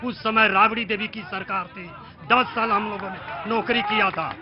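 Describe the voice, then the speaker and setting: A man's voice: sits high, fairly even intonation, moderate pace, noisy background. Man, aggrieved and complaining, muffled outdoor recording, faint crowd. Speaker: dismissed worker voicing grievance. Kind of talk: street vox pop. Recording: dull outdoor audio with crowd.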